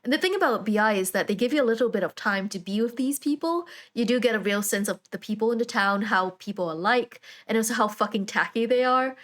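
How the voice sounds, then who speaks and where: A woman hosting a video essay, talking in an informal, conversational and wry way. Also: mid-range voice. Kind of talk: casual video essay commentary.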